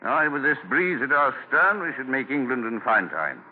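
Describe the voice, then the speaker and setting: A hearty, gruff sea captain, played by a man with very lively intonation. The vintage radio drama sounds tinny and faintly hissy. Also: mid-range voice, moderate pace, natural voice. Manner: salty seafaring talk. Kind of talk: 1940s radio acting.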